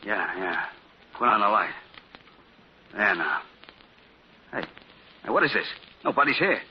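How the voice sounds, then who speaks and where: A rough crook with street slang and a lowish voice, jumpy and sly by turns. The old 1940 radio sound is muffled with faint hiss. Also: male voice, big pitch swings, unhurried. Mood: frightened. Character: rough, scared male crook claiming psychic powers.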